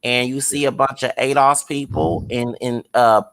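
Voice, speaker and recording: A man's voice: medium pitch, normal speed, fairly bright tone, dry recording. A man hosting a casual talk show, explaining at length with rambling, many restarts and hesitations. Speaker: show host. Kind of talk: casual conversation.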